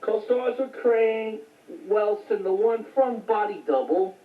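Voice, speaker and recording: A woman's voice: mid-range voice, slow pace. A woman giving a casual, unscripted show-and-tell of a home collection in a rambling, matter-of-fact way. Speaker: home video collector showing recent finds. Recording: tinny phone-like audio, echoey, distant mic.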